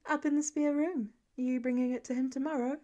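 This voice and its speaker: A woman's voice: high voice, steady tone, fairly quick, mellow, highs cut off, natural voice. A woman voicing an encouraging, affectionate wife and mother in an audiobook story, eager and supportive.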